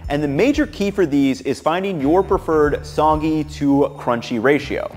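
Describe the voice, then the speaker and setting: A young man in his twenties, upbeat and relaxed, narrating his home cooking and chatting to the camera in his kitchen. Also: fairly high pitch, normal speed, faint music behind. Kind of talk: cooking vlog voice-over and casual to-camera chat.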